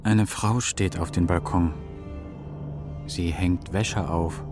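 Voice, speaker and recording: An actor voicing a solemn eagle spirit who reports like a seer in slow, sparse statements in a children's radio drama. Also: male voice, deep voice, steady tone, music in the background. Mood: solemn, calm.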